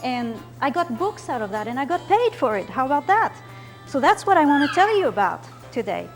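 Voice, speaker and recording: A woman's voice: high-pitched, sing-song, a little fast, soft background music. An older woman from France, a children's author, patiently explaining her childhood and her books to young listeners in simple words. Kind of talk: library talk to children with slides.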